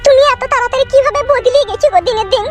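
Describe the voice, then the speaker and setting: A woman's voice: very high-pitched, very fast, over loud music. A woman voicing an aggrieved young village wife who pleads and complains in a cartoon dub. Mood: reproachful.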